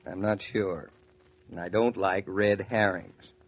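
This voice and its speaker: An actor playing a dry, skeptical, exasperated young lawyer husband with a husky voice in 1940s radio drama. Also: male voice, deep voice, steady tone, takes it slowly, dull audio. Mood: skeptical, pressing. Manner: dry, sardonic, interrogating.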